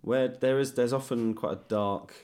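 A man's voice: mid-range voice, fairly even intonation, normal speed, darkish tone. A man hosting a casual interview, tentatively thinking aloud as he frames his questions. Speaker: podcast host, interviewer. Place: guest's home, indoors.

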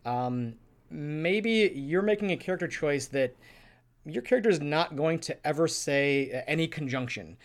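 A man's voice: a little high, animated, normal speed. Tentative man co-hosting a podcast. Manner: tentative, self-deprecating about his technique. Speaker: podcast co-host. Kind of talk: casual podcast discussion.

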